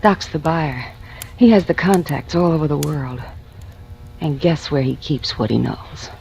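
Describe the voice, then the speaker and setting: An actress playing a scheming, nervy femme fatale in a vintage radio crime drama, conspiratorial and melodramatic. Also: female voice, low voice, measured pace, vocal fry, mellow, slight background noise. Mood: scheming, seductive. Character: frightened, scheming femme fatale, a gangster's lover. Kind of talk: 1940s-50s radio noir acting. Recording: muffled vintage radio recording.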